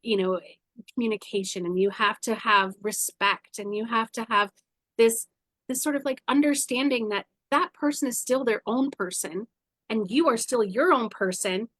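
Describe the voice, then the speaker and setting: A woman in her forties telling personal stories candidly in conversation, animated and blunt, often acting out her own inner dialogue. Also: fairly high pitch, moderate pace, no echo. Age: 40s. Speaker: guest, spiritual guide.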